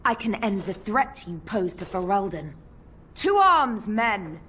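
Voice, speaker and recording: A woman's voice: mid-range voice, sing-song, a little slow, slight background noise. A woman playing a stern, loyal knight in a fantasy game, speaking in a formal, defiant way. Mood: commanding challenge. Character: stern, loyal female knight defending her lord. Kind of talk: dramatic fantasy game dialogue. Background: loud music.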